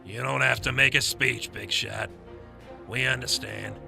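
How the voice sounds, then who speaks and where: A man voicing a gruff, bitter strongman turned monster in a comic book audio drama, blunt and surly, with a gravelly voice. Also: medium pitch, steady tone, unhurried, music in the background. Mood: grim, resigned.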